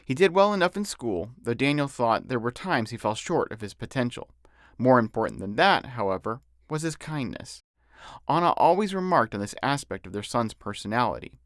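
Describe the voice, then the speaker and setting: Guy narrating an audiobook of his own book, very lively intonation, dry studio-like sound. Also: medium pitch, moderate pace, no echo. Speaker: author reading his novel.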